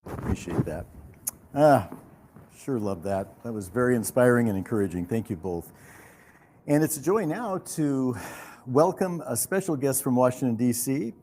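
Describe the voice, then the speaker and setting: A man's voice: medium pitch, lively intonation, moderate pace, warm tone, a little hiss or hum. A man hosting an event, chatting casually on stage with a guest. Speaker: event host interviewing a guest.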